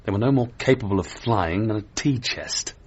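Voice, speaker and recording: Lilting, polite English gentleman. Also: on the low side, lively intonation, moderate pace, slightly rough, highs cut off. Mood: apologetic, sober. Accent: British. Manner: courteous, understated, well-spoken. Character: unflappable, polite English gentleman athlete, curious. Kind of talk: radio drama acting.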